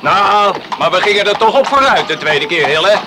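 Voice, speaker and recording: A man's voice: sits high, lively intonation, normal speed. An actor playing an eager, plain-spoken island lifeboatman in a radio play, arguing his case emphatically. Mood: eager, defensive. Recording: noisy radio play recording, outdoor sound effects.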